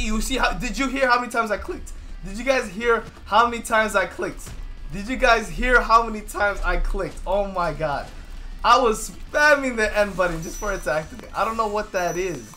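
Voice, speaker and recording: A young man giving loose, excitable commentary over his own gameplay, swearing freely and going hoarse from losing his voice. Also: high-pitched, moderate pace, faint music behind. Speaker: gaming YouTuber.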